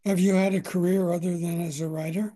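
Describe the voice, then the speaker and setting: Hesitant husky interviewer, dark tone. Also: male voice, high-pitched, flat delivery. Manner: halting, repeats words while asking questions. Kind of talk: informal interview questions.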